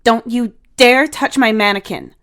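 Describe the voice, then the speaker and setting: Casting: bossy teen sister for audio story, high, dramatic, snappy played by a female narrator. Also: measured pace, a bit bright. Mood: furious. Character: Angela, exasperated bossy teenage sister, hot-tempered and sarcastic.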